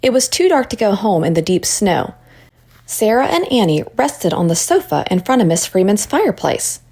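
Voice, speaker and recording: A woman reads a storybook aloud for children. Her voice sits at a middle pitch with noticeable creak in it, and she narrates expressively. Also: sing-song, normal speed, mellow.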